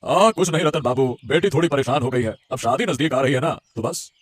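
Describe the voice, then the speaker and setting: A man's voice: mid-range voice, fairly quick, natural voice. A man voicing a meek, resigned, poor middle-aged father in a cartoon story, pleading and soothing. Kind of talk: Hindi cartoon story dialogue.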